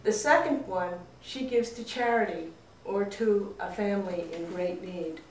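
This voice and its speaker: Slow, dramatic woman storyteller in echoey room. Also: medium pitch. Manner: deliberate, dramatic phrasing. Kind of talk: expressive folk tale narration. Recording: roomy echo, distant mic.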